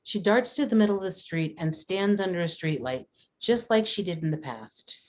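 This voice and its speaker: A woman's voice: fairly low pitch, lively intonation, moderate pace, darkish tone. A woman reading scripted stage directions as narrator at a screenplay table read.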